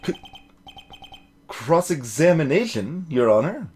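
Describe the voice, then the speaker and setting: A man's voice: on the high side, very animated, slow pace, slight background noise. A man voicing a nervous, bewildered young rookie defence lawyer in a video game, stammering and flustered.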